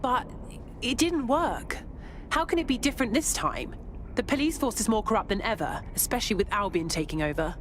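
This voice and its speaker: A woman's voice: a little high, animated, a little fast, a little hiss or hum, natural voice. A woman playing a caring, earnest resistance operative in a game, in natural conversational delivery. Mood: doubtful. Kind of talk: game cutscene dialogue.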